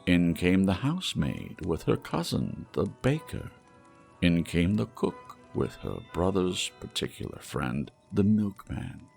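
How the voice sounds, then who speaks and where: Brief: male audiobook narrator, unhurried and lively in pitch, gravelly texture. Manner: measured, expressive storytelling. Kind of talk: storybook reading.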